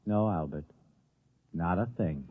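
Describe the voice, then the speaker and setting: An actor playing an exasperated, weary husband and robot owner in a 1950s radio drama, hesitant and dry. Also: male voice, sits low, unhurried, loud music behind the voice. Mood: weary resignation. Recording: muffled vintage radio recording.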